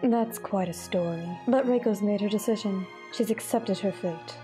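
A woman's voice: mid-range voice, moderate pace, natural voice. A woman voicing a domineering aristocratic mother in an anime fandub, speaking formally with composed, polite condescension. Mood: cold, dismissive. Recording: music behind the voice.

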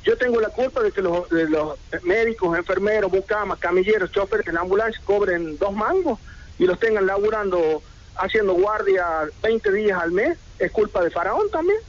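A man's voice: sits high, lively intonation, moderate pace. A middle-aged man with an Argentine accent, making an indignant protest statement, firing rhetorical questions one after another. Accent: Argentine Spanish. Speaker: merchant speaking to local radio. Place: indoors, seated in red armchair. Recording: lo-fi radio broadcast audio.

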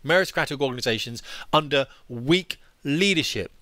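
Man in his forties, headset mic, quick bright ranting delivery, dry close sound. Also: mid-range voice, expressive, dry recording. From United Kingdom. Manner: indignant, ranting, emphatic. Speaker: YouTube commentator, former fighter pilot. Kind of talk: opinionated commentary over on-screen articles.

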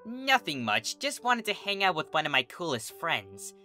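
A reader acts out a young female cartoon pegasus for a storybook. She is brash and casual but easily flustered, stammering in a bright, lively voice over music. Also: mid-range voice, normal speed. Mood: awkward, nervous. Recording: music behind the voice.

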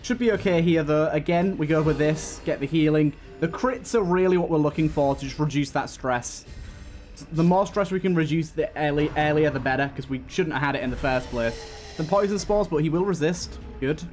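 A man with a slightly high voice talks casually through a video game as a let's play host. He thinks out loud and repeats his phrases. Game music plays behind him. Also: fairly quick. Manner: thinks aloud, repeats himself, swears.

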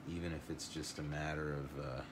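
A middle-aged man teaching a watercolor lesson live, thinking aloud in an unhurried way as he paints at a table. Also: a bass voice, level tone, noisy background. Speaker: art teacher.

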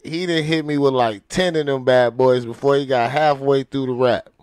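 A man's voice: medium pitch, fairly even intonation, normal speed, a little mellow, clear, resonant tone, no room sound, studio quality. An American man giving casual commentary as a reaction host, in slangy, exclamatory short bursts. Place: home studio, indoors. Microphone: close studio microphone with headphones.

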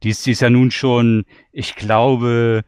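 A man's voice: mid-range voice, level tone, measured pace, a little mellow, smooth. A man from Hamburg hosting a casual, chatty podcast interview. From Hamburg, Germany.

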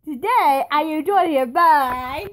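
Giggly high-voiced young woman vlogger. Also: slow. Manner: giggly, excitable, stops and restarts. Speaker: channel host. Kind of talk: casual vlog reaction chat. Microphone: phone screen recording.

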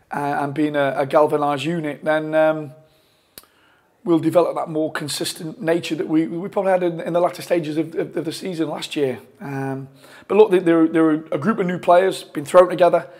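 A football manager in his forties giving a post-match interview from a press room, talking in a measured way with frequent restarts and fillers on a lapel mic. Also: a little high, a little mellow. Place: press room in front of a sponsor board.

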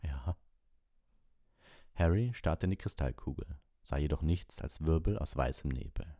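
A man's voice: a bass voice, brisk, dark tone, dry recording, studio quality. A man reading an audiobook as narrator, in scripted storybook narration.

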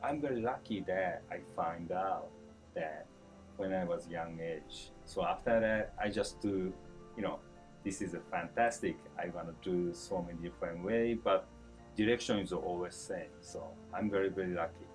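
A man in his fifties from Japan with a Japanese accent, answering interview questions in broken English with chuckles and lively gestures. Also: sits low, normal speed, soft background music, poor audio. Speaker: chef being interviewed. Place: indoor store event, seated before a branded backdrop.

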